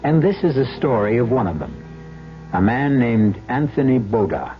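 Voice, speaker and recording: A man narrating an old radio drama as host, in a measured storytelling manner. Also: mid-range voice, sing-song, faint music behind. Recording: dull vintage radio recording.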